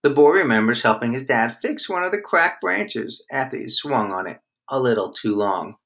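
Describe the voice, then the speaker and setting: Man, bright clear-cut tone, middle pitch, scripted storybook read-aloud, expressive. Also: normal speed. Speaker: storybook reader.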